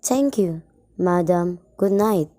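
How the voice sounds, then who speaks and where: A woman's voice: on the low side, animated, slow pace, warm tone, a little hiss or hum. A woman playing a courteous, impersonal telegraph clerk in a radio play, formal and detached. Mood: businesslike.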